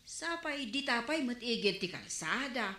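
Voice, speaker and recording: Woman acting a scolding Ilocano village wife, bright lively voice, echoey room. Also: a little high, a little slow, heavily acted. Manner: scolding, loud, shouting outbursts. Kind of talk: radio comedy drama acting.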